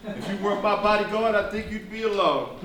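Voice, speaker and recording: A stage actor playing an earnest, weary male civil rights leader with a measured, reflective delivery, recorded in an echoey hall. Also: high-pitched, a crowd in the background, natural voice. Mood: teasing, nostalgic. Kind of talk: live stage play acting. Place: indoor stage performance, distant microphone. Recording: echoey hall from far off, noisy.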